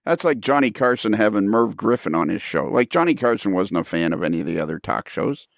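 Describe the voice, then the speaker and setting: A man with a creaky, gravelly voice hosts a casual podcast chat. The sound is close and dry. He speaks haltingly, doubling back on his phrases. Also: on the low side, expressive, no room sound. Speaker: podcast host.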